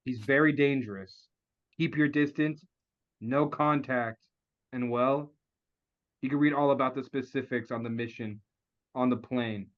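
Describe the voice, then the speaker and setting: A man's voice: medium pitch, steady tone, measured pace, pauses often, natural voice. A man playing a stern, businesslike secret agency chief in a comedy table read. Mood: grave briefing. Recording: dull, lo-fi online call.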